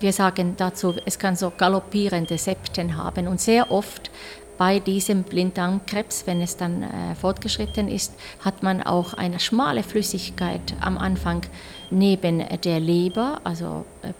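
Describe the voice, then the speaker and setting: Woman, senior doctor, low creaky voice, careful hesitant explainer, clearly noisy recording. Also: steady tone, a little slow, a little mellow. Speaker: senior physician in radiology. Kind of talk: explaining medical findings in an interview.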